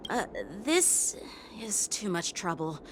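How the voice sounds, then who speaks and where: A man's voice: high-pitched, sing-song, slow, background noise, exaggerated voice. An actor playing an earnest, dutiful young male officer who speaks courteously and formally. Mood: polite. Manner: courteous, formal, self-critical. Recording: game dialogue over music. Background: game music.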